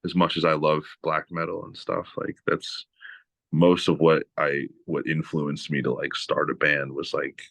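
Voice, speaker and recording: A man from New England with a deep voice, talking casually as a podcast guest with lots of "like" and "you know". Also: measured pace, creaky, no echo. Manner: relaxed, rambling with many "like" and "you know" fillers. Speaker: guest guitarist.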